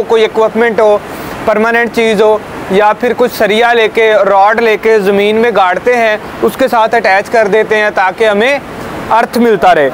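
A man's voice: sits high, slight background noise, rough recording. A young man giving a hands-on welding safety lesson outdoors to a small group, explaining step by step and often checking that they follow. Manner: explanatory, checks understanding with "ठीक है" and "समझ आई है?". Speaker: safety trainer. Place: outdoors beside a building, small group of trainees. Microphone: camera at a few meters.

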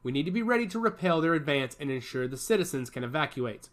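A man voicing a grave older male rebel leader, measured and solemn. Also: a little high, big pitch swings, a bit bright.

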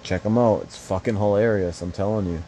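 A man's voice: fairly low pitch, steady tone, fairly quick, warm tone, slight background noise. A young man casually commenting on a mobile fighting game, rambling and swearing with joking asides.

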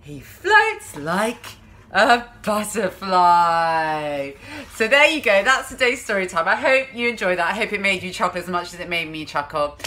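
A woman's voice: medium pitch, sing-song, unhurried, bright, forceful tone. A woman in her thirties hosting a playful storytime, reading aloud with theatrical, exaggerated emphasis and chatty asides. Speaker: parenting vlogger, host. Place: small room at home.

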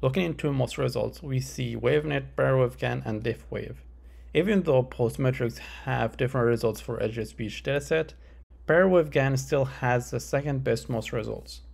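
Guy reading out a research talk, kinda gravelly fry in the voice, mid pitch, formal and measured. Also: a little mellow. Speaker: researcher presenting a conference paper. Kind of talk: scripted academic presentation narration.

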